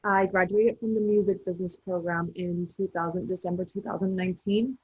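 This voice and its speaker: A young woman from Colombia introducing herself on an online panel, friendly and steady with some fillers. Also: fairly low pitch, fairly quick, breathy edge, dry recording. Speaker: alumni panelist. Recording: dull dry video-call audio.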